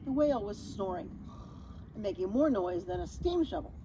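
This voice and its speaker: A woman reading a children's story aloud in an expressive, chatty manner, slipping in casual asides and simple explanations. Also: mid-range voice, moderate pace, dull audio, background noise.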